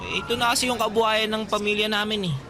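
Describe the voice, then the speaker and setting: A man's voice: high-pitched, flat delivery, fast talker, a crowd in the background, natural voice. A man playing a friendly, cheeky teenage fairground boy in a radio drama, with casual teasing delivery. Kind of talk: Tagalog radio drama acting. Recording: background music, outdoor traffic sounds.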